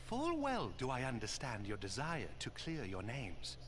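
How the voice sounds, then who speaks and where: A man's voice: medium pitch, wildly swooping pitch, unhurried, a bit bright, a little hiss or hum. A man playing an earnest, loyal nobleman in a game cutscene, reassuring and formal in courtly archaic phrasing. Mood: urging. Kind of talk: video game cutscene acting.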